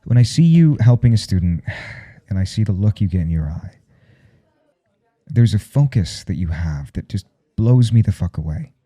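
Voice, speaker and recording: A man playing a nervous, smitten professor in an intimate ASMR roleplay, husky and halting, stammering coyly as he speaks. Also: big pitch swings. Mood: nervous, intense. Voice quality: husky, dark low murmur. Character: nervous, smitten male professor confessing forbidden attraction. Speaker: audio roleplay performer.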